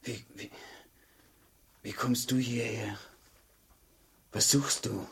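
A man's voice: medium pitch, steady tone, takes it slowly, creaky, a bit bright, slightly rough, full character voice. A man playing a weary, kindly tavern singer and sinner in a radio play, speaking in a subdued way. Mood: weary, gentle.

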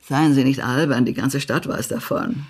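An actress playing a spiteful, haughty small-town society gossip in a German radio play, blunt and imperious. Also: female voice, very deep voice, moderate pace, a little mellow, husky. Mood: imperious, accusing. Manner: blunt, acid, imperious, swearing.

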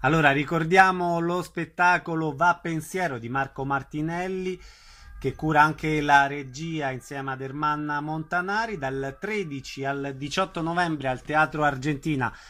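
Male radio interviewer, moderately high and forward-sounding, for announcements and brief guest prompts. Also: measured pace, sharp tone.

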